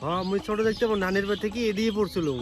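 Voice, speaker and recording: High-voiced, doubtful village guy, northern Bengali dialect man acting. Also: steady tone, rapid-fire, music in the background, close to an everyday voice. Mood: plain, friendly. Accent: northern Bengali regional dialect. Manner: doubtful, protesting. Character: gullible, puzzled young village man. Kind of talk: comedy sketch acting. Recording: noisy outdoors with birdsong.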